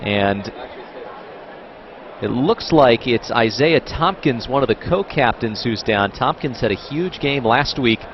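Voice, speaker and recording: A man calling college football play by play in a brisk, informative way. The broadcast audio is dull and muffled. A loud crowd roars behind him. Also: medium pitch, lively intonation, background noise. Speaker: play-by-play announcer.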